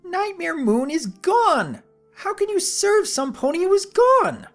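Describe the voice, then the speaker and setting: A man voicing an indignant young female pony held captive, loud and incredulous, in a dramatic story reading. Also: very high voice, sing-song, measured pace, soft background music, pitched above the performer's own voice, exaggerated voice. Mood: outraged.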